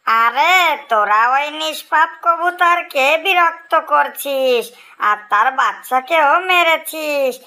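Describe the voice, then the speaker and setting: A woman's voice: sits high, expressive, sharp tone, pitched above the performer's own voice, exaggerated voice. A woman voicing a menacing ghost queen in a children's cartoon, taunting and threatening in a spooky manner. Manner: taunting, threatening, drawn-out chants.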